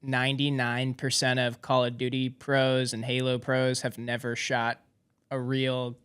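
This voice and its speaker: Man, bright tone, clean smooth voice, mid pitch, informal chatty podcast interviewer. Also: monotone, unhurried. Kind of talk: casual chat.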